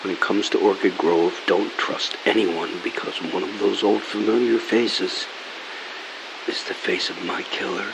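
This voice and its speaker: Dead father's taped message, grave and urgent, gravelly low voice. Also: steady tone, unhurried. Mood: solemn. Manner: urgent, solemn warning. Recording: hissy old tape recording.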